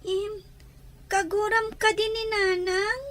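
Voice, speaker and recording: A plaintive little child in a radio drama. The voice is extremely high and a bit slow, full of innocent longing. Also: female voice, flat delivery, a bit bright, full character voice. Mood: sad, longing. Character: plaintive little child missing their mother.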